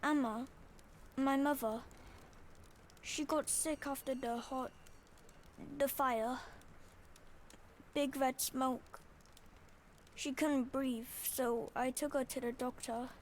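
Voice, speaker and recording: A woman's voice: high-pitched, fairly even intonation, slight background noise. A woman playing an earnest, worried child who speaks hesitant, in a video game. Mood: sad, worried. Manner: hesitant, halting. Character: worried young child, earnest, minding a shrine alone. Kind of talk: scripted game dialogue.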